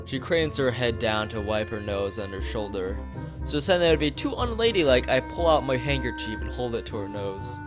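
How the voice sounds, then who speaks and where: A young man reads aloud on a casual game stream, drifting into rambling asides and tripping over phrases. His pitch moves around a lot, and music plays behind him. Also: very animated, moderate pace. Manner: rambling asides, stumbles over read lines. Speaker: streamer playing a visual novel.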